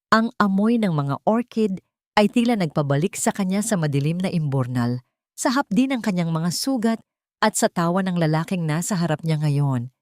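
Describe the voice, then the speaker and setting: Female audiobook narrator for melodrama. Lowish pitch, dry recording, scripted dramatic storytelling. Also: a little mellow, no room sound.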